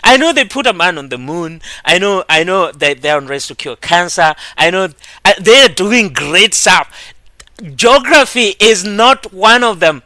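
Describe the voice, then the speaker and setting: Lively, bright-voiced man reacting to a video. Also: high-pitched, a little slow, dry recording. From Kenya. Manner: animated, laughing, emphatic when riled up. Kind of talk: casual reaction commentary.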